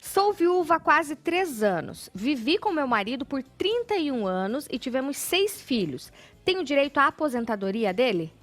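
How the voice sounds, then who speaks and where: A Brazilian woman TV host reading viewers' questions out loud, adding playful comments. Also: high-pitched, moderate pace. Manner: lively, playful asides when reading. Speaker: TV show host. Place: TV studio.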